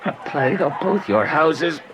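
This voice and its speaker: A man's voice: a little high, lively intonation, moderate pace, very noisy, close to an everyday voice. An actor playing a witty, fiery young nobleman in a Shakespearean radio drama, theatrical and mocking. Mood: bitter joking.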